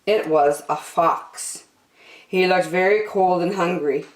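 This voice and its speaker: A woman reading a children's story aloud expressively, with deliberate, dramatic phrasing. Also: lowish voice, reverberant, low-quality recording.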